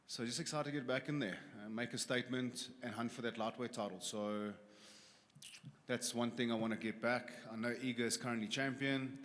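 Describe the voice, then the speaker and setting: A man's voice: on the low side, a bit bright. A confident man in his forties from South Africa, answering questions as a fighter at a press conference. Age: 40s. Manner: confident, plain-spoken. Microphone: handheld microphone. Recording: long hall reverb.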